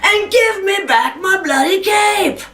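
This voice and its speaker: A woman's voice: medium pitch, expressive, normal speed, reverberant, recorded from a distance, soft background music, natural voice. A woman playing a stingy, cunning older Irish man in a folk tale, stubborn and always bargaining.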